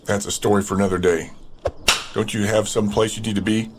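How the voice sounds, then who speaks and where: A man's voice: fairly low pitch, level tone, brisk, natural voice. An actor playing a cocky, menacing boss who taunts and commands his underling in an audio drama. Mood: cocky, commanding. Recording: loud noisy background with clicks.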